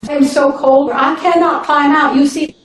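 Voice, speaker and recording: A woman's voice: high voice, fairly even intonation, moderate pace, heavy reverb, distant mic, a little hiss or hum, voice pushed up, natural voice. A woman voicing a pitiful, pleading male snake stuck in a hole, in a told folktale. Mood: begging. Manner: wheedling, begging. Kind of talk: live storytelling.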